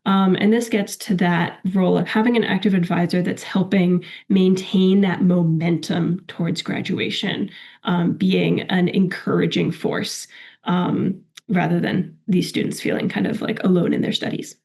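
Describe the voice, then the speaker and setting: A woman presenting evaluation results in a webinar. She is getting over a cold, so her voice is a little crackly, and she talks in a measured way with frequent ums and restarts. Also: on the low side, little pitch movement, creaky, a little mellow.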